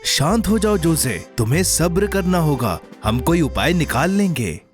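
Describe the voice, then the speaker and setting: A caring, sensible male cartoon character, with very animated intonation. He instructs and reassures expressively, as in a kids' show, over faint music. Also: fairly high pitch, normal speed. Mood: reassuring. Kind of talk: children's cartoon dub.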